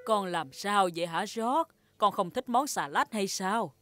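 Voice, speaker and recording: A woman voicing a caring, elderly grandmother pig in a cartoon dub, gently coaxing. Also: mid-range voice, animated, a little slow, faint music behind.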